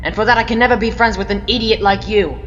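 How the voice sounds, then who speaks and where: A woman's voice: medium pitch, fast talker, a bit bright, faint music behind, natural voice. A woman playing a righteous, indignant Time Lord hero in a fan audio drama, accusing and emphatic in her delivery. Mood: angry, anguished. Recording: dull, noisy recording with long reverb.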